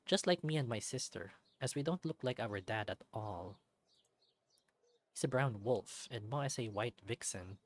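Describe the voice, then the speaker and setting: A let's play narrator reading a visual novel aloud in an expressive storybook way, with light personal asides. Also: medium pitch, normal speed.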